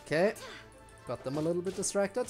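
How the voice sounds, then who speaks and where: A man's voice: sits high, lively intonation, fairly quick, a little mellow, faint music behind. A man streaming a video game with casual commentary and wry, muttered asides to himself. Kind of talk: casual live gameplay commentary.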